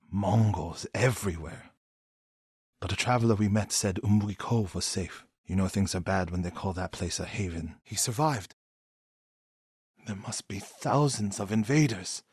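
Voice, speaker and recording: An actor playing an earnest, devout and loyal warrior monk in a video game, husky and solemn, with formal, dramatic delivery. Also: male voice, on the low side, dry recording, clean studio sound.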